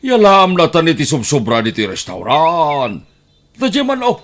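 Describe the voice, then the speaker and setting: A man with an Ilocano accent playing a greedy, penny-pinching uncle in an audio drama, husky and wheedling. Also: a little high, huge pitch swings, moderate pace, a bit bright.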